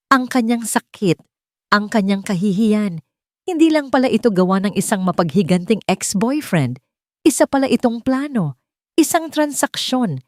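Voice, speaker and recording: A woman narrates a scripted romantic drama as a storyteller. Her voice is on the low side, and the recording is dry, with no room sound around it. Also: a little mellow, no echo.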